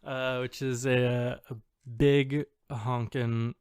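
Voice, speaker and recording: A man co-hosting a podcast in casual chat, halting and tentative as he thinks aloud. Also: mid-range voice, level tone, a little mellow, smooth, dry recording, clean studio sound. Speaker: podcast co-host.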